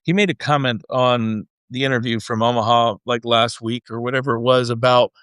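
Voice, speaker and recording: A man with a medium-pitched voice hosts an interview in an informal, conversational way. He thinks aloud as he works toward each question. The recording is close and dry. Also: steady tone, a little fast, a bit bright, smooth, no echo. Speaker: podcast host, interviewer.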